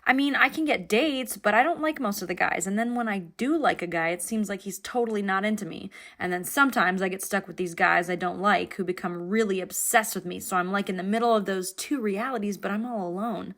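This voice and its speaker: Woman playing an anxious, creaky-voiced oversharing passenger. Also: mid-range voice, brisk, few pauses, fairly bright tone, close to an everyday voice. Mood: anxious, confessional. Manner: rambling, self-deprecating, candid. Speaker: passenger in an animated series. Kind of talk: naturalistic confessional conversation.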